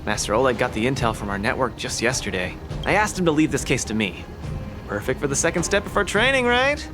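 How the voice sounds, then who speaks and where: A man's voice: medium pitch, sing-song, fast talker, music in the background, close to an everyday voice. A young man playing a cocky, upbeat champion fighter in a video game, with a boastful, theatrical, eager delivery. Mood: eager, confident. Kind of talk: animated video game voice acting.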